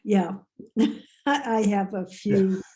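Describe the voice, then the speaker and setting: A woman's voice: mid-range voice, drawn-out words, mellow. An older woman joining a video call workshop, sharing teaching memories hesitantly with many fillers. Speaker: workshop participant. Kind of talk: casual chat.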